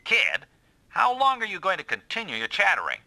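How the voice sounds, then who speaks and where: A curt, authoritative commander in an anime game dub. His voice is very forceful and a little high, with strongly varied intonation. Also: wildly swooping pitch, fairly quick, gravelly, no echo, exaggerated voice. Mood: impatient. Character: stern, commanding male squad boss. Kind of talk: anime-style video game dub acting.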